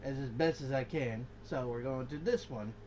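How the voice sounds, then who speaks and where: A man's voice: medium pitch, normal speed, slight background noise. A young man giving casual, rambling, off-the-cuff commentary while he plays a video game. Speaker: gaming video host. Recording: loud game music behind voice. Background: game music.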